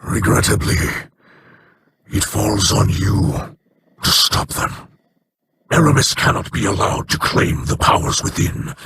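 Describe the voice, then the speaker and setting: An actor playing a solemn alien ally who speaks in slow, formal, measured sentences, in a noisy game audio rip. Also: male voice, lowish voice, lively intonation, a bit bright. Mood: grave.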